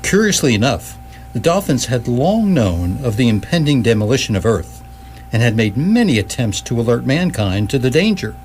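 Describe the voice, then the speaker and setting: Guy narrating a comedy radio play, really lively pitch, bit of noise and hum behind him. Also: normal speed. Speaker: storyline narrator. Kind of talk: scripted comic narration, radio drama. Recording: clearly noisy recording with hum.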